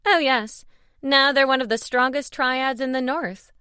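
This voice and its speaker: A woman's voice: high voice, steady tone, a little slow, pushed and bright, clean tone, dry recording, clean studio sound, voice pushed up. A woman voicing a brash, playful older female matchmaker in an audiobook, bold and teasing. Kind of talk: audiobook character dialogue.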